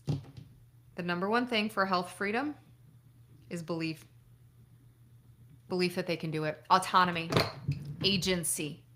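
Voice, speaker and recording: A woman's voice: on the low side, bright, forceful tone. A middle-aged woman from upstate New York, a doctor chatting casually on a live stream, answering viewer questions, laughing often and swearing now and then. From Buffalo, New York.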